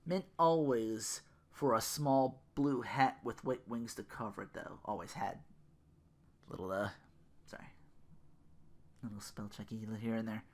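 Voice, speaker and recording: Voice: mid-range voice, fairly even intonation, unhurried, long pauses. A podcast host reading fan fiction theatrically, breaking off now and then for casual asides. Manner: theatrical, self-interrupting.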